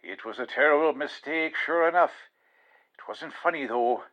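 A man's voice: fairly high pitch, moderate pace, pushed and bright. Man playing a wry cop on a muffled phone. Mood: stern, wry. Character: gruff, wry Irish male police officer. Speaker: actor in an audio drama. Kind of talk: 1940s radio drama acting. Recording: dull, band-limited phone line.

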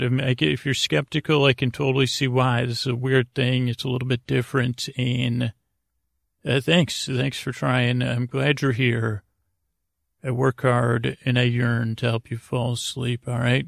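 A man hosting a sleep podcast in a halting, meandering, goofy and self-deprecating monologue, with lulling delivery and a gravelly voice. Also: mid-range voice, flat delivery, a bit bright, dry recording, clean studio sound. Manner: meandering, halting, stumbling over words. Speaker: podcast host. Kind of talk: rambling bedtime monologue to help listeners sleep.

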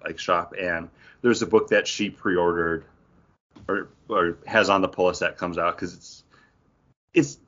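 A man's voice: deep voice, fairly even intonation, measured pace, fairly bright tone, muffled recording. A man co-hosting a podcast, chatting casually about comic books. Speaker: podcast co-host. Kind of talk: casual comic book chat.

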